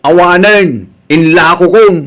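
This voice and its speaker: A hot-tempered, domineering husband in an Ilocano radio drama. He speaks with an Ilocano accent and a gruff, bossy manner. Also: high-pitched, slow pace, a bit bright. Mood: defiant, angry.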